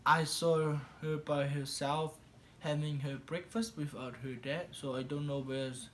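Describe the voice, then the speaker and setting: Slow-talking man with a Samoan accent. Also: on the high side, fairly even intonation, a little hiss or hum. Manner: broken English, laughs a lot while telling. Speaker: husband telling how they met. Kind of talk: casual storytelling chat.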